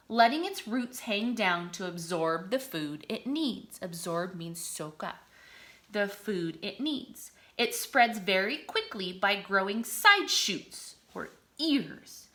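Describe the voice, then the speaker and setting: A woman reads aloud in the manner of a teacher with young listeners. Her voice is bright and moves expressively up and down. She enunciates deliberately and explains things in simple terms. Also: medium pitch. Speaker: teacher reading aloud to children.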